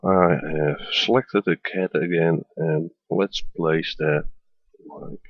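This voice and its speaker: A man from the Netherlands with a Dutch accent, hesitantly narrating a software tutorial as a voiceover with many fillers. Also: low-pitched, fairly even intonation, slow, slightly rough, dry recording, highs cut off. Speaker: tutorial maker. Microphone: voiceover at a computer.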